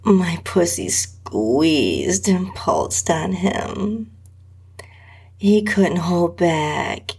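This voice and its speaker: A woman reads an intimate first-person adult story as its narrator. Her voice is extremely breathy and often close to a whisper, with a gravelly fry in it. She takes it very slowly, with a sultry, drawn-out delivery. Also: on the low side, expressive, darkish tone. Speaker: podcast host and story narrator.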